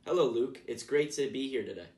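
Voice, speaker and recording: A man's voice: medium pitch, fairly quick, a little mellow. Young man, distant in an echoey room. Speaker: interview guest playing a 1920s investigator. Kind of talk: scripted mock news interview.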